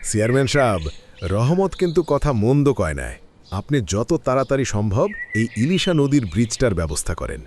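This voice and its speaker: A man's voice: mid-range voice, sing-song, fairly quick, darkish tone, a little hiss or hum, lightly acted. A man playing a plain-spoken village neighbour in a cartoon, politely prodding, with a rural Bangladeshi accent. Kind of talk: cartoon voice acting.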